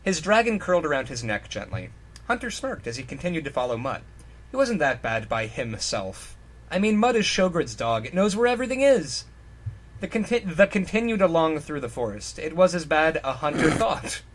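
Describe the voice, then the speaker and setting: Lively, higher-pitched man reading aloud. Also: moderate pace. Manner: stumbling, joking. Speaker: reader on a comedy reading show. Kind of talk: informal dramatic reading of fan fiction with ad-libs.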